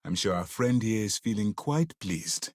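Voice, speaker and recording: A man playing a stern, principled space navy commander in a video game, speaking in a formal, measured, authoritative way. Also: on the low side, animated, no room sound, clean studio sound. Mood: firm. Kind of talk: scripted game dialogue.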